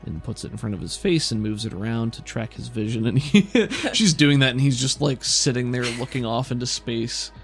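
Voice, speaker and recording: A man runs a tabletop game as game master, improvising the scene conversationally. His mid-pitched voice has a lot of fry, and soft music plays behind him. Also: a little fast, few pauses.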